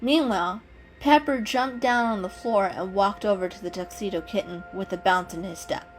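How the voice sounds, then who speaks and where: A woman narrates a children's animal story as a dramatic reading. Her delivery is expressive, and music plays behind her voice. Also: medium pitch, normal speed, poor audio. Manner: expressive, acts out the dialogue. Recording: music under the voice. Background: background music.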